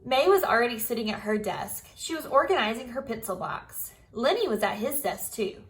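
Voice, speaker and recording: Lady teacher reading a kids book out loud, kinda high voice, expressive, talks right to the class. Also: moderate pace, poor audio. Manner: expressive, addresses young pupils directly. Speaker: primary school teacher. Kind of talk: children's storybook read-aloud.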